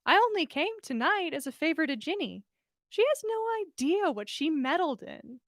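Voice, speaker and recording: Woman acting dreamy young woman, very high voice, solemn, earnest, softly romantic, storybook, dry close sound. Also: big pitch swings, normal speed, no echo, full character voice. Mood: tender, shy. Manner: solemn, utterly honest. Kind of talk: fan fiction story reading.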